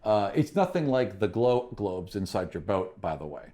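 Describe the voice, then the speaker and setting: A man's voice: fairly low pitch, sing-song, normal speed, warm tone. A man narrating a fantasy tabletop game as game master, descriptive and informal.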